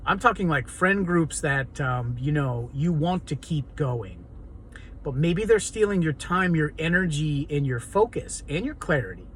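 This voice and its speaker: A man with a hoarse, raw, raspy voice, talking earnestly and rambling in an unscripted personal vlog monologue. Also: fairly high pitch, big pitch swings, a little slow, fairly bright tone, slight background noise. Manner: rambling and earnest, many ums and restarts. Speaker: vlogger.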